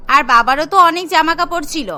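A woman's voice: high voice, brisk. A woman voicing a scheming young daughter-in-law who is sly and greedy, with a wheedling, bossy delivery. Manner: wheedling, then bossy and sharp.

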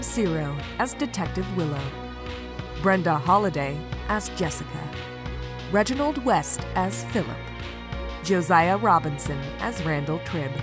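Woman announcer reading out credits, lowish voice, music playing behind. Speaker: presenter. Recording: music under the voice.

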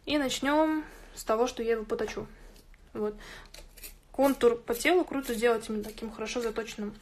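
A woman's voice: on the high side, a little fast, a little hiss or hum. A woman hosting an informal drawing tutorial, chatting and thinking aloud as she works. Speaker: art tutorial host. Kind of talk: informal drawing tutorial, explaining while working. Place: indoors at a drawing table.

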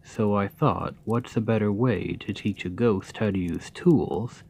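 Kind gravedigger played by a man for comfort ASMR: fairly low, very unhurried, gentle, patient, fondly encouraging. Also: gravelly. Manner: soft, soothing, encouraging, unhurried. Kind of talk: ASMR roleplay, scripted comforting monologue.

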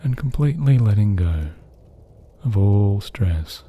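A man narrating a guided sleep meditation in a soft, breathy, soothing voice, gently hushed, with long pauses between phrases. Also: on the low side, lively intonation.

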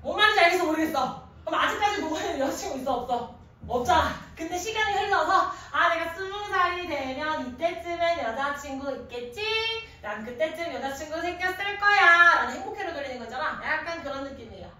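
A woman in her thirties teaching a grammar class in an animated, informal way, often quizzing students with questions. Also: extremely high pitch, brisk. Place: indoor classroom at a chalkboard. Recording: echoey classroom from a distance, faint noise.

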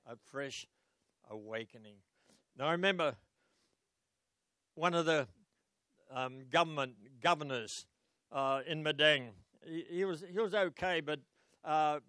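A man's voice: on the high side, smooth, no echo. An older man with an Australian accent, preaching a sermon on a church stage into a handheld mic, telling stories and calling out to the congregation. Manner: storytelling preacher, rising to loud exclamations and calling out to the congregation. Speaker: pastor.

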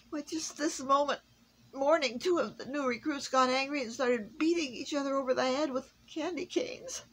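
A woman's voice: high voice, breathy edge, pitched above the performer's own voice, exaggerated voice, rough recording. Woman voicing a fretful stammering elf foreman. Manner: stammering, pleading. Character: anxious fretful male elf foreman, small. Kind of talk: storybook reading.

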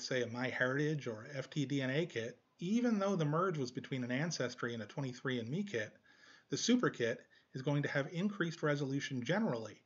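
A man in his forties presenting an explanatory tutorial in a measured, didactic way. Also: mid-range voice, fairly bright tone, lo-fi sound.

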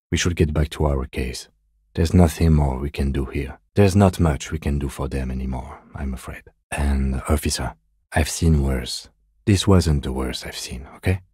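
An actor playing a composed, dry police detective in a video game, speaking in a measured, restrained, matter-of-fact way with a soft husky edge. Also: male voice, very deep voice, no room sound, heavily acted. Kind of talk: video game dialogue acting.

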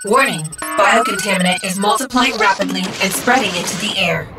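A female computer voice from a cartoon robot, acted by a woman, clipped and impersonal. It delivers urgent alarm announcements at a middle pitch, with loud music playing behind it. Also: a little fast, natural voice. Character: robot's onboard computer giving urgent warnings.

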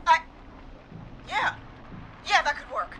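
A woman's voice: extremely high pitch, sing-song, takes it slowly, bright, forceful tone, slight background noise, full character voice. A woman acting a resolute but frightened police dispatcher in a video game, urgent and hesitant under stress. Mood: tense, searching.

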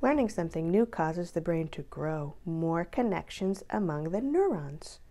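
A woman reading a children's book aloud in an expressive, deliberate way, drawing out key words for emphasis. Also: lowish voice.

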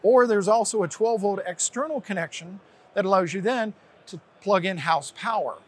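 An American man in his sixties with a husky voice, presenting a product to camera in an unscripted, explanatory, conversational way. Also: high-pitched, normal speed. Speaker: product presenter, company representative. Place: large indoor trade show hall, at a booth.

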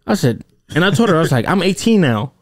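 A man's voice: fairly high pitch, fast talker. A man in his thirties co-hosting a casual podcast, telling stories animatedly with plenty of swearing, into a studio mic in a small home studio. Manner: animated storyteller, heavy swearing. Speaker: podcast co-host. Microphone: boom-arm studio mic with headphones.

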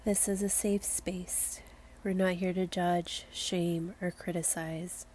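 Female meditation guide, lowish and flat in pitch, soothing, unhurried delivery with music behind. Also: a little hiss or hum. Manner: gentle, soothing, unhurried invitations. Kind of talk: slow guided meditation narration.

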